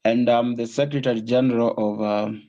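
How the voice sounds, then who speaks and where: Young man from Kenya, mellow tone, dull muffled call audio, earnest. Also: mid-range voice, little pitch movement, a little fast. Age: 20s. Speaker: guest, political aspirant. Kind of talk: casual interview talk.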